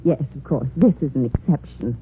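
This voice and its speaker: A woman's voice: a bass voice, lively intonation, normal speed, slight background noise. A woman playing an officious hotel staffer in a 1940s radio drama. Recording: tinny 1940s radio recording.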